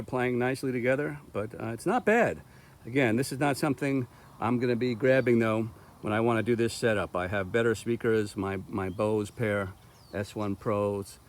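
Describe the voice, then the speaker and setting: Male gear reviewer, mid-range voice, casual and explanatory vlog delivery, recorded outside in a park. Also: mid-range voice. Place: outdoors in a park.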